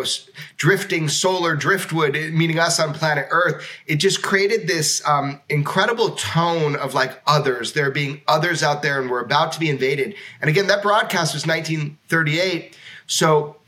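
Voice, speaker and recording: A male podcast host with a fairly high, sharp, forward voice. He talks casually and excitably, putting emphasis on his points in an informal way. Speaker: talk show host. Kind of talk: enthusiastic casual podcast talk.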